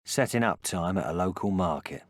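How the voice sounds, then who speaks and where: A man's voice: low-pitched, lively intonation, moderate pace, dry recording. A man acting as a terse scene-setting narrator for a crime video game, reading short scripted captions.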